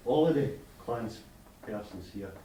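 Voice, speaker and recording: A man from Scotland, speaking earnestly and haltingly from the floor at a meeting. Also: mid-range voice, expressive, warm tone. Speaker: clan member speaking from the floor. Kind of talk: unscripted remarks at a meeting. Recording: echoey hall, distant mic, faint hiss.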